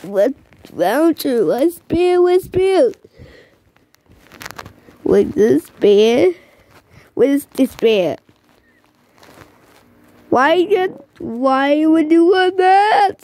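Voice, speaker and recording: A woman giving excited, exclaiming commentary while playing a mobile bowling game. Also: sits high, extremely slow, long pauses. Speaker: gamer.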